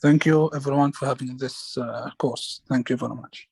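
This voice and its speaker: A man who works for a government ministry, giving a halting self-introduction to an online group. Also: mid-range voice, fairly even intonation, gravelly, darkish tone, breathy edge, no echo. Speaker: ministry employee, training participant.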